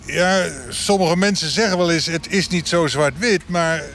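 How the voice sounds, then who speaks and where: A man's voice: high voice, moderate pace, a bit bright. A man playing a proud, wry small-town mayor in a mock news interview soundbite.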